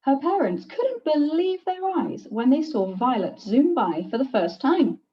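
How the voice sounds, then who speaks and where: A woman reading a children's picture book aloud in an expressive, deliberate teacher's storytelling manner. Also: high voice. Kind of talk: scripted picture-book narration. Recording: distant, slightly dull microphone.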